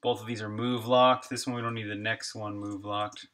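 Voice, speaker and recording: A man's voice: on the low side, level tone, normal speed, fairly bright tone. A man streaming his game development live, talking casually through his code and reacting to chat. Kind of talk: live coding stream, thinking aloud.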